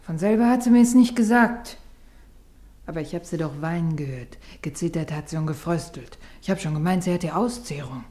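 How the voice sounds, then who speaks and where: Insistent, low-voiced scolding woman played by an actress. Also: normal speed, warm tone, natural voice. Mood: defiant. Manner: insistent, earnest, nagging. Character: stubborn, scolding, superstitious working-class woman. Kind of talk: 1950s German radio drama acting.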